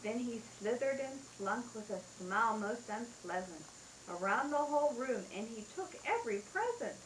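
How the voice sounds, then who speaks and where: A woman's voice: fairly high pitch, animated, normal speed, echoey room, slight background noise, poor audio. A mother reading a rhyming bedtime story aloud in a sing-song way, taped at home.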